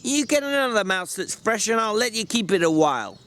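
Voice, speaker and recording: A man acts out a bossy, irritable working man from a dramatized novel. His voice is pitched up, brusque and scolding, that of a gruff protector. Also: very animated, a little fast, fairly bright tone, slight background noise. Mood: exasperated. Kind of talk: dramatic audiobook reading.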